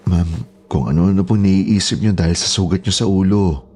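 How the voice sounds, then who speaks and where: A man's voice: deep voice, normal speed, darkish tone, faint music behind, lightly acted. A man playing a worried, respectful houseboy in a horror audio drama. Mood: concerned. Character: worried, respectful young houseboy confronting his employer.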